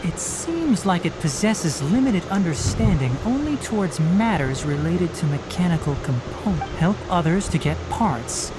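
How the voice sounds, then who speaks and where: A man voicing a calm, clever young forest ranger in a video game, measured and precise. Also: high voice, over loud music, poor audio. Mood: matter-of-fact. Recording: game audio with noise.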